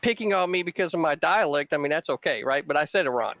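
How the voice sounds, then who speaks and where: A man's voice: a little high, expressive, fast, a bit bright, no room sound. A man in an online political debate, answering curtly and defensively. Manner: curt, defensive. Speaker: debate guest.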